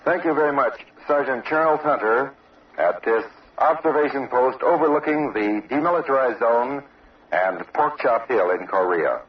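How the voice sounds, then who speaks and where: A man's voice: medium pitch, lively intonation, normal speed, slight background noise. Male field reporter, muffled and distant. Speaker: radio reporter, interviewer. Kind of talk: on-location news interview. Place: outdoor observation post. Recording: dull, distant old radio field recording.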